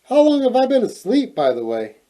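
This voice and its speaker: A man's voice: very high voice, wildly swooping pitch, a little fast, dark tone, pitched above the performer's own voice, exaggerated voice. A man voicing a cheeky young storybook princess in a bubbly, exaggerated manner. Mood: cheeky, astonished.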